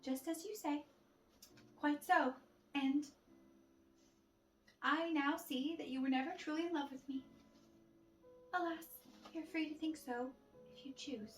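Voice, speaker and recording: High-voiced woman reading a play aloud. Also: a little slow, long pauses, distant mic, slight background noise. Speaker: storyteller. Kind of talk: theatrical read-aloud of a rhyming play. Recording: roomy echo with music behind.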